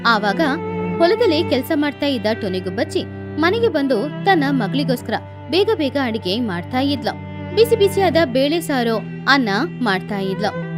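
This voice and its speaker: High-pitched woman telling bedtime stories. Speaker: storyteller. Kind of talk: scripted children's bedtime story narration.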